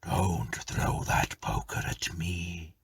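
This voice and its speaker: A man voicing the stern, mournful ghost of a ruined man in a story reading, with drawn-out, half-whispered delivery. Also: low voice, monotone, fairly bright tone, a little hiss or hum. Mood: eerie warning.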